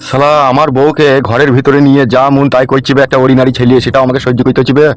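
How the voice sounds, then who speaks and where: গ্রামবাংলার টানে একজন পুরুষ কার্টুনে এক বদরাগী, মুখখারাপ, দাদাগিরি-করা নেতার গলা দিচ্ছে।. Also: male voice, mid-range voice, little pitch movement, racing, lightly acted. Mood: furious. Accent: rural West Bengali. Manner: threatening, domineering. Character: bullying, hot-tempered politician boss, manipulative and crude. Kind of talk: amateur comedy cartoon dub.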